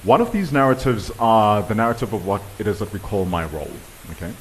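A man's voice: on the low side, lively intonation, fast talker, a little hiss or hum, poor audio. A man giving a conference talk, making firm, emphatic points to the audience. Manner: emphatic, assertive statements. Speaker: diversity workshop presenter.

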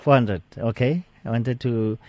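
A man's voice: mid-range voice, a little slow, darkish tone, dry recording. A man hosting a radio talk show, easygoing and self-deprecating as he reads out contact numbers.

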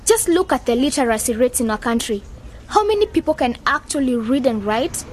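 An actress playing an excitable, outspoken young woman in an educational radio drama, with an emphatic, teasing manner. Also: high voice, a little fast, slight background noise. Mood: arguing.

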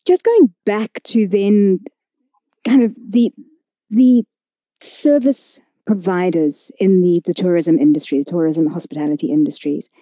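A woman hosting a conversational podcast interview, asking questions in a measured, deliberate way. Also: lowish voice, dark tone, no echo. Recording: dull, lo-fi audio.

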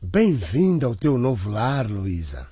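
A man acting an evasive, boastful father who justifies himself in a radio drama, with a husky voice and a placating manner. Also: mid-range voice, big pitch swings, measured pace, noisy background. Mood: proud, coaxing. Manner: stammering, placating.

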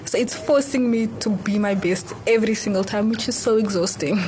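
A woman's voice: medium pitch, a little fast, dark tone, low-quality recording. Casting note: twenties woman from Cape Town, student reporter, chatty, faint room noise behind. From Cape Town, South Africa. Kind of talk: casual interview chat.

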